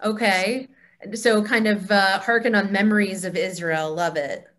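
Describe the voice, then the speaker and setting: A woman's voice: medium pitch, fairly even intonation, measured pace, fairly bright tone. A woman facilitating a conversation on a video call, encouraging and feeling her way through her phrasing. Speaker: cantor, discussion leader.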